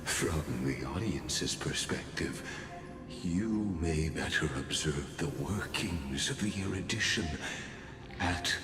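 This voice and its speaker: An actor playing a cold, scholarly scheming villain in a game, speaking formally and deliberately with polite menace and condescension. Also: male voice, a bass voice, lively intonation, over music, heavily acted. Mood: coolly condescending. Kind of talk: theatrical game dialogue.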